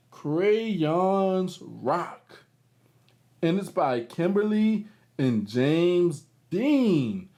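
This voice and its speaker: Male kids' read-aloud host: very lively intonation, slow clear delivery for little ones, encouraging, chatty asides. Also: sits high. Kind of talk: animated read-aloud for young children.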